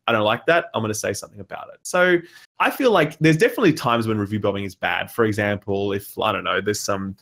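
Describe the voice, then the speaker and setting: A man talks in a casual discussion, blunt and emphatic, swearing freely as he makes his case. His voice sits in a middle register. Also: mid-range voice. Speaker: podcast guest.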